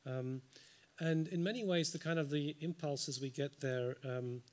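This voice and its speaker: A man's voice: fairly high pitch, a little slow, a little mellow. A man from the United Kingdom, giving an unscripted panel response in a large lecture hall into a table microphone, thoughtful with frequent ums and dry asides. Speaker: symposium panelist.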